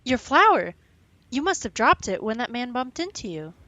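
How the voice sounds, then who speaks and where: A woman's voice: a little high, very animated, normal speed, pushed and bright, muffled recording. A woman playing a kindly young lady in an audio drama. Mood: concerned.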